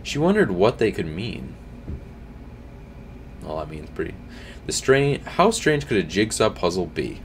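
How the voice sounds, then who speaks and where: Rich: A young man with a very low voice reads a spooky story aloud at his home streaming desk. His pitch swings widely as he reads, and he slips into hushed comments between lines. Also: sing-song, a little slow, pauses often, a little hiss or hum. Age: young adult. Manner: reads with slips and restarts, drops to quiet asides. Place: home streaming room, indoors.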